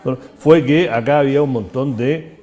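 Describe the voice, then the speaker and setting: A man with a Chilean accent hosting a talk-show conversation, talking fast with an opinionated tone and many restarts. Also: medium pitch, warm tone. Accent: Chilean Spanish. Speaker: host.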